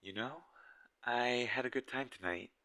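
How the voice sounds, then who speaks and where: A man's voice: medium pitch, moderate pace, bright, forceful tone, soft background music. A man playing a shy, smitten game developer on a date in a romantic audio roleplay, speaking nervously and hesitantly straight to the listener. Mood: earnest, nervous. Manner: awkward, nervous, hesitant and stammering.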